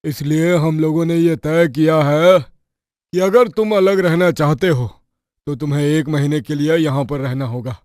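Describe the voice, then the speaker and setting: A man's voice: fairly high pitch, unhurried, darkish tone, dry recording, clean studio sound, close to an everyday voice. A man playing a stern, fed-up older father-in-law in a cartoon story, admonishing his family. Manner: admonishing, declarative. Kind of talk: Hindi cartoon story acting.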